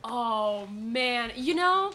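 A woman's voice: fairly high pitch, animated, very slow, pushed and bright, slight background noise. A young woman co-hosting a student radio show, chatting casually. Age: young adult. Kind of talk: casual unscripted discussion. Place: small classroom studio. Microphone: stand microphone.

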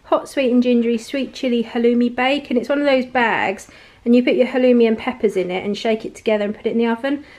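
A woman's voice: fairly high pitch, quick pace, darkish tone. Chatty woman showing off groceries. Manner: chatty, rambling, laughs often. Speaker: vlogger. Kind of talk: casual grocery haul chat. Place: home, at a table of groceries. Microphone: camera pointed at the table, speaker off screen.

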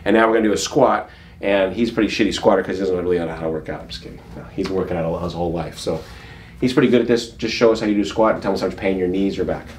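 Middle aged chiro guy, really low voice, jokes around, bit of office noise behind him. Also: rapid-fire. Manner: joking, informal, salty language. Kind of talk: casual patient consultation and commentary. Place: small office treatment room. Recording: background noise, room ambience.

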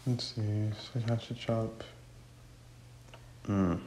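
A man's voice: fairly low pitch, level tone, slow, dark tone, slight background noise. A man chatting casually as a co-player during gameplay. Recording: roomy with faint music.

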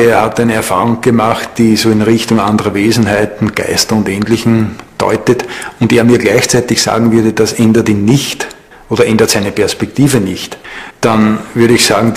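A man explaining his view in a documentary interview, measured and formal, choosing his words carefully. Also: fairly low pitch, level tone. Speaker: expert interviewee.

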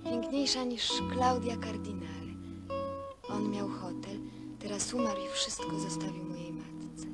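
An actress playing a dreamy young woman who confides wishful stories in halting phrases, in naturalistic Polish film dialogue. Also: medium pitch, close to an everyday voice. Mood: wistful, eager. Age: young adult. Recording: old film soundtrack with music.

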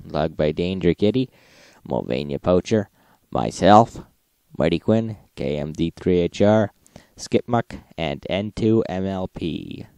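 A man's voice: deep voice, expressive, measured pace, gravelly, warm tone, smooth. A man hosting an informal radio recap, narrating logs in a matter-of-fact manner.

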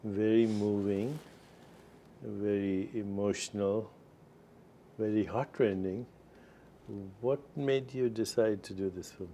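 A man in his sixties hosting a studio interview, asking measured, inquisitive questions with short affirmations. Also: lowish voice, steady tone, dark tone, slight background noise. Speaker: interview host. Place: studio set with screens behind.

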